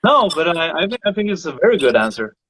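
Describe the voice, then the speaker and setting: A male singer hosting a casual chat about voices, speaking thoughtfully and halting often to find his words. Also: a little high, big pitch swings, sharp tone, husky, no echo. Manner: thoughtful, halting, repeats words while searching. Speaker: singer and show host. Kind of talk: casual conversation about singing.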